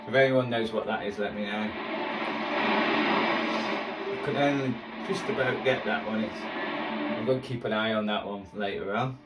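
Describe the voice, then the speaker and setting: A man's voice: lowish voice, moderate pace, pauses often. A man chatting casually as he tunes a shortwave radio, with music playing from the set. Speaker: shortwave radio hobbyist presenter. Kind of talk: casual chat while tuning a radio. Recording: roomy, distant mic, music behind.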